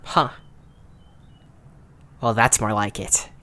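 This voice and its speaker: A man's voice: on the low side, fairly even intonation, takes it slowly, bright, forceful tone. A man playing a blunt, impatient young creature who leads his group in an audio drama. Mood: firm. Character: bossy, blunt young male Zoombini leader, proud and impatient. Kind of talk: audio drama character acting.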